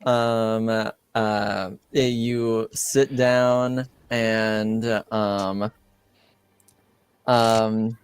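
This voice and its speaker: A man running a tabletop roleplaying game as game master, narrating haltingly with frequent pauses mid-sentence. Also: fairly low pitch, little pitch movement, clear, resonant tone, dry recording. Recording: music playing behind. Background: background music.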